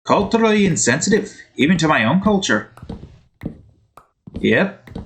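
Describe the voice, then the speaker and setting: Lively high-voiced man, wavering put-on Scottish accent. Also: a little slow. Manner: rambling, self-commenting. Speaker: gaming video host. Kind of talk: casual game commentary. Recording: faint background noise.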